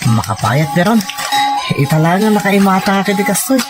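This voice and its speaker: Someone acting curious newcomer in an ilocano audio drama, swingy middle pitched voice, music underneath. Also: big pitch swings, moderate pace, lightly acted.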